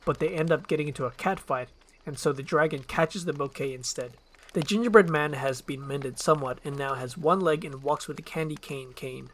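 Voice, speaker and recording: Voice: medium pitch, slight background noise. A narrator reading out screenplay action in a scripted storytelling style.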